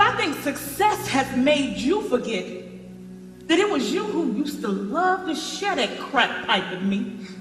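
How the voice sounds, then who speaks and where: High, bright-voiced proud ex-girlfriend, echoey stage. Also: heavily acted. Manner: indignant, emphatic, theatrical. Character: proud, wounded ex-girlfriend, recovered addict turned businesswoman. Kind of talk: gospel stage play acting. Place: stage in a large hall. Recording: echoey theatre hall with music underneath.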